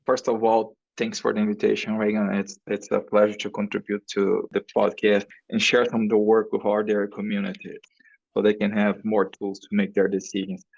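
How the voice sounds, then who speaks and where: Gravelly Brazilian man, low and even. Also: a little fast, dry recording, highs cut off. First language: Portuguese. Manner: non-native phrasing. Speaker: podcast guest, professor and researcher. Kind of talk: explanatory interview talk.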